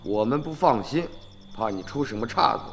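A man acting a wily, smooth-talking crook in a radio drama, cajoling and sly with an undercurrent of menace. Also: mid-range voice, animated, normal speed, soft background music, close to an everyday voice. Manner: cajoling, sly, interjection-laden. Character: wily, smooth-talking tomb-robber dealer, quietly menacing.

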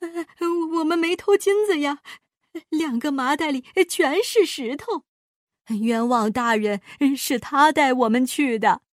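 A woman's voice: high-pitched, unhurried, dry recording, clean studio sound. A woman voicing a gullible male vagrant in a children's story, flustered and protesting. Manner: agitated, protesting.

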